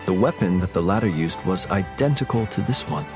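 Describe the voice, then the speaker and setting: A man playing a composed, courteous gentleman soldier in an anime-style game, formal and thoughtful in his wording. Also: deep voice, expressive, fairly quick, over loud music. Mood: courteous, reflective.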